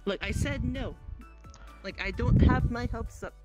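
A woman's voice: fairly high pitch, measured pace. A woman improvising in a roleplay, insistent, repeating herself in a flustered way. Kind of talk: improvised virtual reality roleplay. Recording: music behind the voice. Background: clear background music.

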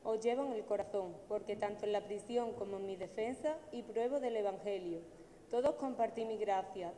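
Young woman doing the mass reading, mellow voice, long church echo. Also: medium pitch. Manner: careful, steady reading. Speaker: lay reader. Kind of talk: liturgical reading. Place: large church at the lectern. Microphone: stand mic at the lectern. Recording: long church reverb, faint room noise.

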